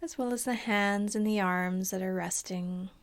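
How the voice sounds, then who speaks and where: Soft, unhurried woman guiding meditation. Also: medium pitch. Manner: calm, soothing, unhurried with long pauses. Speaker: meditation teacher. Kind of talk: guided body scan meditation.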